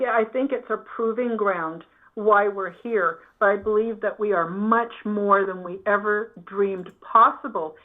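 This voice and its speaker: A woman with a fairly low voice speaks as an interview guest. She is earnest and reflective, talking conversationally. The audio is thin and phone-like, with a narrow band. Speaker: interview guest, spiritual health coach. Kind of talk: conversational spiritual talk.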